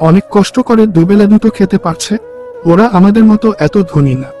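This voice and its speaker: A man voicing a kind, simple and loyal young village husband in a cartoon, earnest and gently firm. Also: high voice, brisk, soft background music, lightly acted. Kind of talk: Bengali cartoon story voice acting.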